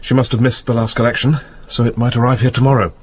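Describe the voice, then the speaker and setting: An actor with a British accent playing a shrewd senior police detective in a radio drama, measured and businesslike. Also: male voice, mid-range voice, little pitch movement, close to an everyday voice. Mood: grave, polite. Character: composed, shrewd male senior police detective, polite and matter-of-fact. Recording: noisy radio drama with ambience.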